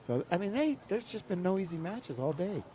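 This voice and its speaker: A man giving chatty, excitable live commentary on a sports match. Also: high-pitched, fairly quick, slight background noise, poor audio.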